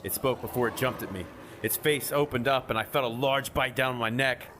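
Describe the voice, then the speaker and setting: A man's voice: medium pitch, brisk, a bit bright, a little hiss or hum. A man reading a scripted first-person creepypasta as a horror story narrator. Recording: music behind the voice.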